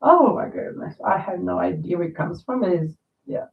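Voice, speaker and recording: A woman, chatting in a rambling way on a livestream, a little nasal and raspy from a cold. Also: lowish voice, animated, moderate pace. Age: 50s. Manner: chatty, rambling, reads viewer comments aloud. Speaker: crochet livestream host. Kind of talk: casual livestream chat. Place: home. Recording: distant, echoey, phone-like sound.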